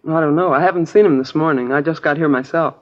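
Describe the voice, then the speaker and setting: A woman's voice: sits low, fast talker, natural voice. An actress playing a chatty, naive American girlfriend in a 1950s radio crime drama, casual, rambling and a little vague. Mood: casual, hospitable. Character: chatty, naive, easygoing working-class girlfriend of a crook. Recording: dull old radio broadcast recording.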